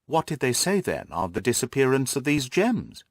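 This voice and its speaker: A man voicing an incisive, composed gentleman detective in an audiobook, questioning and reasoning with precise emphasis. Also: medium pitch, wildly swooping pitch, moderate pace, no echo, clean studio sound. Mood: sceptical. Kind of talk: audiobook character acting.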